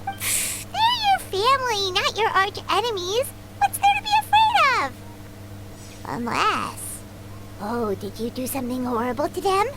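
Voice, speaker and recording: A woman voicing a small, childlike, nosy fairy companion with a squeaky, chirpy delivery in an anime-style game. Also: very animated, unhurried, heavy background noise, full character voice. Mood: teasing. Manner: chirpy, speaks of self by name. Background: game music.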